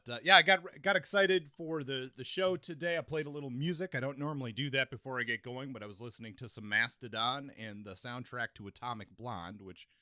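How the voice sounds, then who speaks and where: Bright-voiced man, casual podcast host. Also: mid-range voice, a little fast, hardly any pauses, dry recording, clean studio sound. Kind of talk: casual book discussion.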